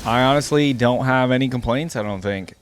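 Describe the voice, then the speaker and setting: A man hosting a gaming reaction video, commenting casually off the cuff and thinking out loud with easy surprise. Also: medium pitch, fairly quick, soft background music. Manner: easily amused, thinks out loud, exclaims with surprise.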